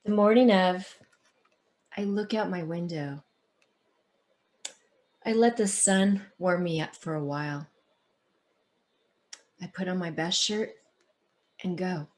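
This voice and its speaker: A woman author who reads aloud and talks in an expressive, deliberate storytelling manner. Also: mid-range voice. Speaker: children's book author. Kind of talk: picture-book read-aloud and conversation.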